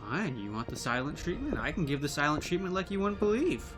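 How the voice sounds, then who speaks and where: A man's voice: a little high, very animated, fairly quick, over music. A man playing a villain's loyal, wisecracking sidekick in an audio drama, casual and exasperated. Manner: casual, wry, modern.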